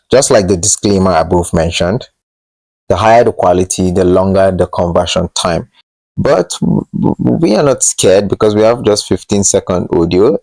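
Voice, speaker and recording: Male tutorial host, low register, informal and slightly hesitant delivery, dry room-free sound. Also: a little mellow, dry recording, rough recording. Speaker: host of a tech tutorial channel. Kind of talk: casual screen-share tutorial narration.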